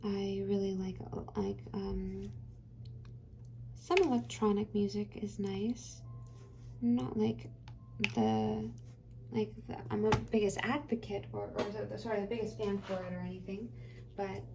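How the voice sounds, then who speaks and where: A woman's voice: mid-range voice, fairly even intonation, measured pace, gravelly, background noise. A woman hosting a craft channel, chatting casually while she works, rambling and hesitant with many fillers, her voice tired and getting dry.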